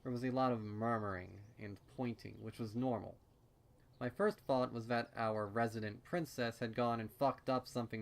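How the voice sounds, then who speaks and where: A man reading a first-person story aloud in a conversational, measured storytelling manner. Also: medium pitch. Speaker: fan fiction reader. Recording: loud music behind the voice.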